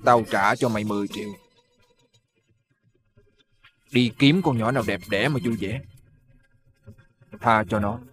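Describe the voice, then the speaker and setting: An actor playing a menacing gang boss who gives slow, threatening orders. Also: male voice, mid-range voice, a bit bright, lightly acted. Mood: commanding.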